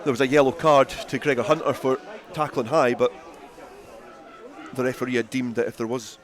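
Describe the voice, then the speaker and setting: A man's voice: mid-range voice, flat delivery, normal speed. Man commentating on live rugby. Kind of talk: live radio sports commentary. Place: outdoors at a rugby ground.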